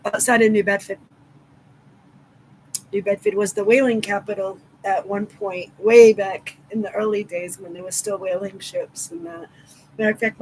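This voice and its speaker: A woman's voice: medium pitch, a little mellow, a little hiss or hum, rough recording. A middle-aged woman from Massachusetts with a local accent, chatting casually and affectionately with viewers on a livestream and greeting them by name. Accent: Southeastern Massachusetts. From Massachusetts, USA. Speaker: livestream host.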